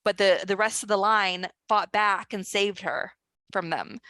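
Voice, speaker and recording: A woman author and screenwriter speaking as a guest in an online discussion, talking in an earnest, urgent way with quick restarts. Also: medium pitch, steady tone, pushed and bright. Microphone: video call.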